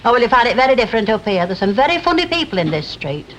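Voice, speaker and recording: A woman's voice: on the high side, big pitch swings, racing, a bit bright. An actress playing a bossy, nosy older woman neighbour with a Lancashire accent and a brusque, gossipy delivery, in 1960s television soap style. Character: blunt, nosy, bossy older female neighbour and hall caretaker. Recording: old noisy 1960s television soundtrack.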